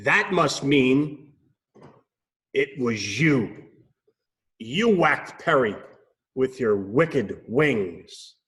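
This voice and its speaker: A man voicing an earnest, excitable owl detective in a children's storybook, dramatic and self-important. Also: medium pitch, very slow, pauses often. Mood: accusing.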